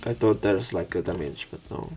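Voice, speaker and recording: A man's voice: sits low, normal speed, music in the background. A man reading game narration aloud as a let's player, breaking into irreverent, casual commentary. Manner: irreverent, crude asides.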